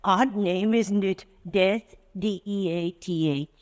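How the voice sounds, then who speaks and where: An actor playing a sinister, genteel man calling himself Mister Death, smooth and mocking in an old radio drama. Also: high-pitched, slow. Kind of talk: 1940s radio acting. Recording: vintage radio broadcast.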